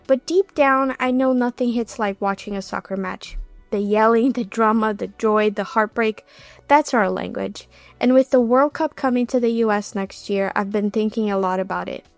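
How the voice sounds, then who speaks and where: Young Brazilian woman, podcast host; heartfelt, confiding monologue, voice on the higher side, dry close recording. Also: dry recording. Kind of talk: reflective solo podcast monologue.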